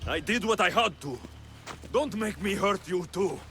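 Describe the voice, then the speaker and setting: An actor playing a terse, protective, hot-tempered mercenary in a video game. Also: male voice, high-pitched, expressive, unhurried, pushed and bright, heavily acted. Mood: tense, defensive. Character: gruff male Greek mercenary hero, protective, hot-tempered. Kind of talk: dramatic video game acting. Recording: dramatic music behind the voice.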